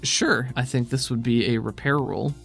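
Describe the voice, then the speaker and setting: A man's voice: medium pitch, normal speed, creaky, a bit bright, soft background music. A man narrating a tabletop game as game master in a casual style.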